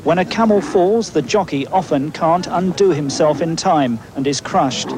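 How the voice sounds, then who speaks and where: A male reporter narrates a scripted news story in a fairly high voice. Clear noise and outdoor location sound run behind him on an old TV recording. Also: normal speed.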